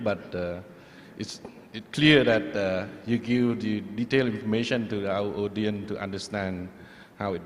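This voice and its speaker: Moderator, male, lowish voice, long hall reverb, faint background noise. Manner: polite, formal introductions. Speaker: session moderator. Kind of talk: formal moderating.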